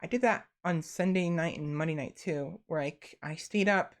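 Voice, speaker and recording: A woman's voice: deep voice, moderate pace. A tired young woman streamer chatting casually and rambling to her viewers, with lots of restarts and fillers.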